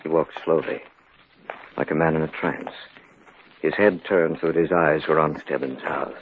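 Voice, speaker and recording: An actor playing a sober, sceptical friend, measured and serious, narrating in 1950s radio drama style. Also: male voice, a bass voice, steady tone, slight background noise. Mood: grave narration. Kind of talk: 1950s radio acting, scripted first-person narration. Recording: tinny old radio recording.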